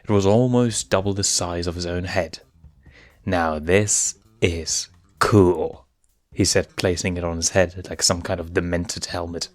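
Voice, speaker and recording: A man's voice: low voice, fairly even intonation, fairly quick, few pauses, no echo. A man reading fan fiction aloud as scripted story narration. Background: faint music.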